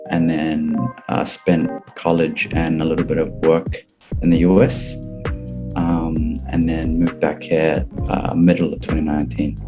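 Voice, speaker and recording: A young man with a very low voice chats on a video call, loose and rambling, full of "like" and "um". Music plays clearly underneath him. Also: measured pace. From New Zealand. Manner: relaxed, rambling with many fillers. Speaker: program participant. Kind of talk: casual video call chat.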